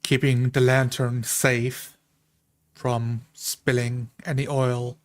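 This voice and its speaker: A man with a mid-pitched voice speaks slowly and quite evenly. He is a tabletop player describing what his character does. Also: level tone.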